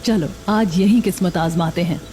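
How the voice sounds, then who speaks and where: A woman's voice: on the low side, moderate pace, warm tone, a little hiss or hum, close to an everyday voice. A woman playing a hardworking, hopeful young daughter-in-law who runs a noodle stall in a cartoon. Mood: welcoming. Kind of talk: scripted cartoon dialogue.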